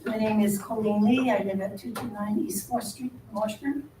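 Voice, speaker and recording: A woman with a small, soft voice giving public comment at a council meeting, earnest and measured with frequent ums. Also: medium pitch, fairly even intonation, background noise. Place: city council chamber. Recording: echoey council chamber, distant mic.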